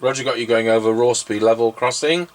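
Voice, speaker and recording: A man's voice: medium pitch, steady tone, brisk. A British man hosting a CB radio repair test, calling out power readings and radio procedure in a matter-of-fact way. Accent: British English. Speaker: radio repair workshop host. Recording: distant, muffled, loud radio noise.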